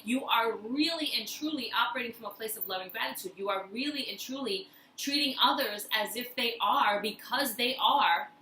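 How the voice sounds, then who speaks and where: A woman's voice: on the high side, sharp tone. A woman hosting a motivational personal-growth video, speaking to the viewer emphatically and repeating phrases to drive points home. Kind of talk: motivational talk to camera. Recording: roomy echo, faint background noise.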